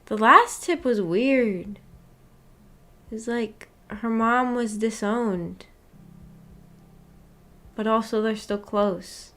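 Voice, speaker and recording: A woman with a somewhat high-pitched voice hosts a casual book club stream. She rambles as she thinks aloud, questioning herself in a puzzled way. Her talk is giggly and informal. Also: extremely slow, pauses often, sharp tone. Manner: giggly, laughs often while talking. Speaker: streamer hosting a visual novel book club. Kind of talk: casual livestream chat reacting to a story.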